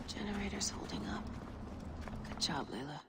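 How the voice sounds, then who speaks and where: A woman's voice: fairly low pitch, expressive, normal speed, heavy background noise, lightly acted. A woman acting as a stubborn, ambitious researcher in a video game, terse and self-assured. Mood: determined. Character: headstrong, ambitious female researcher, stubborn and impatient.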